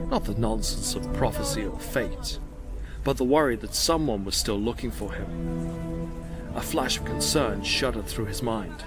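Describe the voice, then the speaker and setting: Male narrator for audio fiction: fairly low register, dramatic scripted read, set over a prominent music score. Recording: loud music behind the voice.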